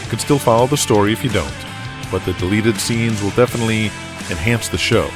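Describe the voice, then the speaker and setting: A man's voice: lowish voice, fairly quick. A man narrating and hosting a scripted audio drama, with music behind him. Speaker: narrator and show host.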